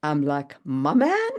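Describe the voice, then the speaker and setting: A woman chatting casually and opinionatedly, in an animated way, as on a writing podcast. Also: a little high. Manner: animated, opinionated, laughs readily. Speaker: podcast guest discussing writing. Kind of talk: casual chat.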